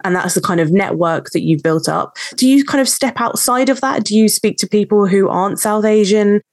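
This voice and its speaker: A woman's voice: mid-range voice, fairly quick, no echo, clean studio sound. A woman hosting a podcast, asking questions in a friendly and encouraging way. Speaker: podcast host. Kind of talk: casual podcast conversation.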